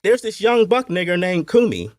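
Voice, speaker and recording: A man's voice: high-pitched, very animated, normal speed, no room sound, clean studio sound, pitched above the performer's own voice. A man voicing a crude, deferential young plantation overseer with a folksy Appalachian accent, in an audiobook story. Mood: eager, folksy. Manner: folksy, coarse, ungrammatical speech.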